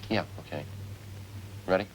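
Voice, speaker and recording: An actor in an old radio police drama playing a terse, businesslike sergeant, questioning in a clipped, dry, unemotional way. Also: male voice, moderate pace, noisy background, lightly acted. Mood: dry, firm. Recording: old radio recording with music behind.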